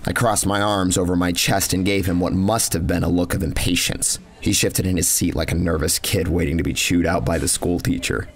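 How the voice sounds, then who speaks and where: A man reading a scripted first-person horror story in a measured, dramatic and cynical manner. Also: low voice, steady tone. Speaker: narrator. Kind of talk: scripted first-person horror fiction narration with acted dialogue.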